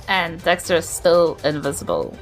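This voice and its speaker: A woman chatting casually as a player in a tabletop game, with an excitable, informal way of talking. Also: on the low side, moderate pace, soft background music, poor audio.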